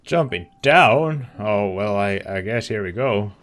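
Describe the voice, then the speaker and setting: A man giving casual let's play commentary, hesitant, reacting in short, halting bursts. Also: fairly low pitch, expressive, fairly bright tone, slight background noise.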